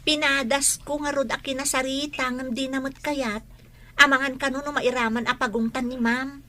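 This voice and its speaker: Woman as teenage student, high-pitched, sharp, insistent, whiny, pushy, radio drama. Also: level tone, normal speed. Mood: worried. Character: pushy, complaining teenage student who skips studying.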